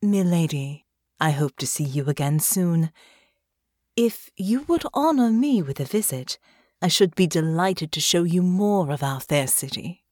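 A woman's voice: on the low side, animated, unhurried, a little mellow, dry recording, clean studio sound. A woman playing a courtly androgynous noble. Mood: gracious. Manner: courtly, polite and flirtatious. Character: gracious, charming androgynous noble.

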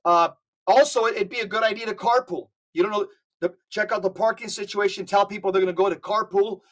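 A man speaking as a podcast guest, urging listeners with rapid lists of instructions. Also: high voice, fairly even intonation, a bit bright. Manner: urgent, exhorting, rapid lists. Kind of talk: casual podcast talk.

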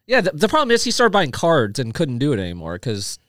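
A man's voice: on the high side, huge pitch swings, brisk, fairly bright tone, dry recording, studio quality. A man chatting casually as a panelist on a podcast.

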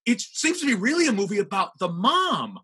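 A man hosting a casual interview, talking in an animated, rambling way. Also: sits high, fairly quick, husky. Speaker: podcast host, interviewer.

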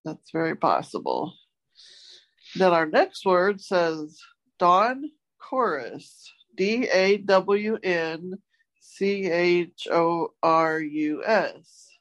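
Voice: medium pitch, animated, drawn-out words, a bit bright, highs cut off. A co-host chatting casually and with good humour while presenting words. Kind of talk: casual chat, presenting new dictionary words.

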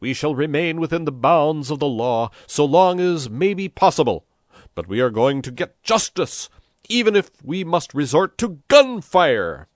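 Male reader as hot-headed village mayor, storybook character, loud, exasperated, oratorical, highly animated, dry sound. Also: normal speed, no room sound, exaggerated voice. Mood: rousing, defiant.

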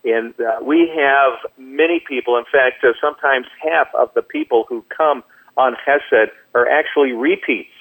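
Guy phoning in to a radio interview, thin telephone sound, explains things carefully w/ pauses, middle pitch. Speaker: ministry program director, interview guest. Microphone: phone call. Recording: tinny, narrow telephone line.

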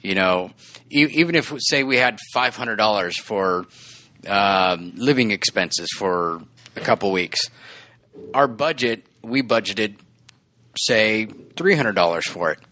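Bright-voiced man in his forties. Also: fairly low pitch, expressive, measured pace. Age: 40s. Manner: rambling, earnest, laughs often. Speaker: podcast co-host. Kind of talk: casual chat.

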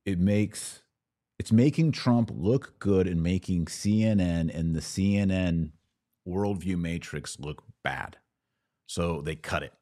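A man with a low voice hosts an informal commentary podcast. He explains his points emphatically, as if walking a listener through each one. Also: slow, warm tone. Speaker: podcast host. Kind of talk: informal political commentary reacting to clips.

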